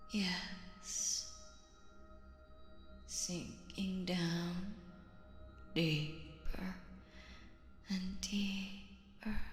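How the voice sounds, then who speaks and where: A woman playing a possessive, sinister stage magician in a roleplay audio drama, coaxing theatrically and slipping into breathy whispers. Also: mid-range voice, reverberant. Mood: hypnotic, soothing. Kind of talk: roleplay audio drama, intimate hypnotic monologue.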